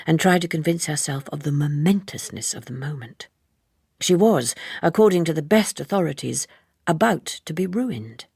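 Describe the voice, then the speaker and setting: A woman narrating literary fiction as an audiobook, measured and wryly understated in her reading. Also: lowish voice, creaky, a little mellow, husky, no echo, clean studio sound.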